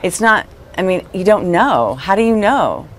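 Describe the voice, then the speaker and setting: A woman recounting a personal experience in an interview, speaking in a halting, earnest way. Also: mid-range voice, sing-song, a crowd in the background. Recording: noisy interview clip playing.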